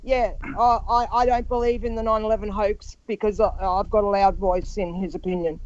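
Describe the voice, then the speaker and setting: A woman on an online panel ranting in exasperation, swearing heavily, with a voice she herself calls loud. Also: medium pitch, steady tone, brisk, a little hiss or hum. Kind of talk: casual panel chat. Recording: dull lo-fi stream audio.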